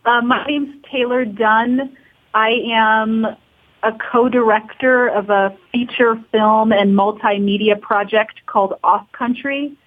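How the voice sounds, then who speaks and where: Phone-like narrow sound, woman, slightly high voice, casual interview guest. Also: fairly even intonation, slow pace. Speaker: interview guest, documentary co-director and professor. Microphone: phone call. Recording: tinny remote phone call.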